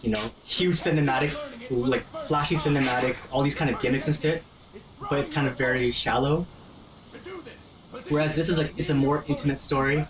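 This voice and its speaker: A man's voice: medium pitch, steady tone, a little fast, poor audio. Man chatting casually about games, chatter behind. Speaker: host explaining the game to friends. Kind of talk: casual gaming commentary chat.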